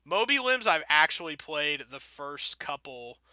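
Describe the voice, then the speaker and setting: A man's voice: fairly high pitch, very animated, unhurried, sharp tone, dry recording. A man giving casual, rambling video game commentary as a let's play host, wordy, wry and self-deprecating.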